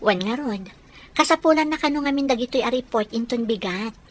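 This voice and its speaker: An actress playing a lively, easily flustered young working woman in love, in an Ilocano radio comedy drama. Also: a little high, a little fast. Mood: affectionate.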